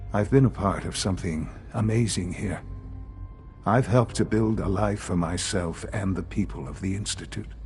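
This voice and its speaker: An actor playing an elderly male leader of a secretive science institute in a video game, speaking in a measured, formal, gravely earnest way. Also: deep voice, a little mellow. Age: older. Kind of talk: video game dialogue acting.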